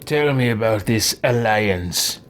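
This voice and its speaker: A man's voice: lowish voice, animated, takes it slowly, a little hiss or hum. A man voicing a haughty, irritable, self-important wizard while roleplaying over a video game, with pompous, indignant theatrics.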